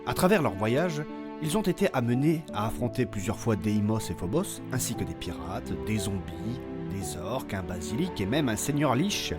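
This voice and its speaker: A man performs a solemn storyteller introducing a fantasy audio saga. His medium-pitched voice delivers a dramatic, scripted recap. Music plays clearly underneath. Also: natural voice. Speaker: narrator.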